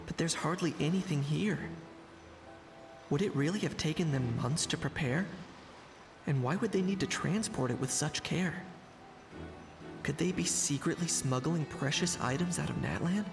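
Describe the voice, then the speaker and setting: Calm detective type in a game, thinking out loud, gravelly, big echoey reverb. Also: male voice, fairly high pitch, music in the background. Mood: curious, suspicious. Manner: measured, deliberate, analytical. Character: observant, composed male investigator in fantasy game. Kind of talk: video game narration and inner monologue.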